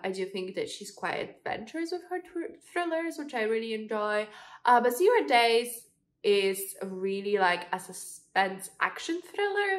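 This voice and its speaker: A woman's voice: mid-range voice, a bit bright. Young woman host, stuffy cold voice, chatty with fillers, casual review talk. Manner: chatty, frequent fillers, laughs while talking. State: congested from a cold. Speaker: booktube host. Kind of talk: casual book review chat.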